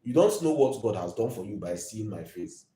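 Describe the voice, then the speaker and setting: A man's voice: on the low side, expressive, moderate pace, dark tone, reverberant. A Nigerian man in his twenties hosting a live video, ranting emphatically and preachily and repeating his points. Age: 20s.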